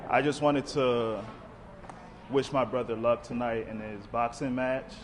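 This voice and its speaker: A Nigerian American man speaking slowly and deliberately at a press conference. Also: mid-range voice, steady tone, noisy background. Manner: slow, deliberate.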